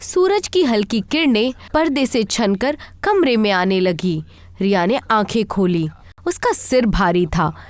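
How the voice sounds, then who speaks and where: A woman's voice: medium pitch, dry recording. A woman narrator reading a scripted horror story.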